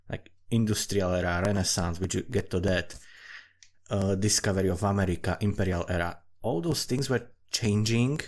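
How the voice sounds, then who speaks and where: A man from Slovakia with a Slovak accent, giving casual, rambling commentary in a profane, self-mocking and digressive way. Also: lowish voice. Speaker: storyteller and amateur writer. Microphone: desktop USB condenser microphone.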